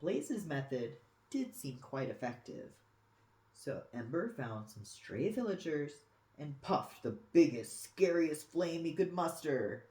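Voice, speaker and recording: A storyteller reading a picture book aloud expressively for children, with dramatic pauses and cooing asides. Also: mid-range voice, very animated, mellow. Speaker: storybook reader. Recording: echoey room.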